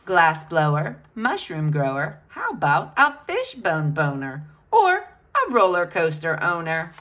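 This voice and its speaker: A woman's voice: fairly low pitch, huge pitch swings, unhurried, fairly bright tone. A woman reading a picture book aloud to children, with a sing-song, questioning delivery.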